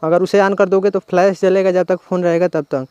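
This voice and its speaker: An Indian man hosts an informal tech tutorial in a high, smooth voice. He explains step by step, often restating an instruction in simpler words. The recording is close and dry, with very little room sound. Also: little pitch movement, a little fast, darkish tone, dry recording, lo-fi sound. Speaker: tech channel host. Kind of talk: informal phone tutorial narration.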